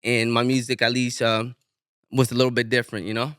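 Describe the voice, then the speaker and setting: Podcast guest: man, bright crisp tone, dry studio sound, loose and casual. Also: mid-range voice, a little fast, no room sound. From Inglewood, California. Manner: relaxed, loose, swears freely, many restarts. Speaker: rapper guest.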